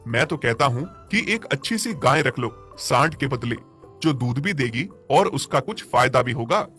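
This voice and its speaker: A man voicing a friendly, practical village man in an animated moral story, conversational and advising. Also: mid-range voice, normal speed, faint music behind, close to an everyday voice.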